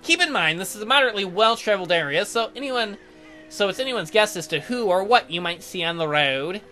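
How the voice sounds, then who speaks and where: A sly male water nixie from a fantasy game, put on by a man, smug and flirtatious. The voice sits high and swings widely, teasing in a mock-gallant way over music. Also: expressive, a little fast, sharp tone.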